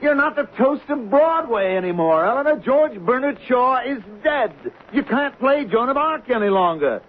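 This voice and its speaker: An actor in a radio drama playing a blunt, exasperated theatrical husband who speaks emphatically and pleads. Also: male voice, very high-pitched, animated, normal speed. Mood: scolding. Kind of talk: 1970s radio drama acting. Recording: dull, hissy old radio recording.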